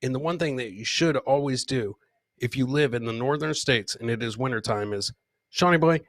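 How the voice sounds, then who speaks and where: A man's voice: mid-range voice, moderate pace, no room sound, clean studio sound. A man hosting a comedy podcast, casually commenting on clips in a boisterous, slangy way with repeated catchphrases.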